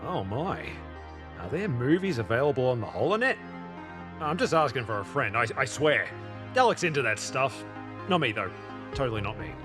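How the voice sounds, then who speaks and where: An actor playing a crude, naive and excitable spaceship skipper in a video game, with a folksy manner. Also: male voice, medium pitch, normal speed, long pauses, music in the background, natural voice. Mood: sheepish. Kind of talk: video game character acting.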